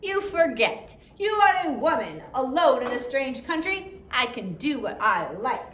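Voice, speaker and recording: A woman's voice: high-pitched, big pitch swings, measured pace, a bit bright, exaggerated voice. A woman voicing a leering, menacing male official with a mocking, smug manner in a storybook reading. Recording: roomy echo, background noise.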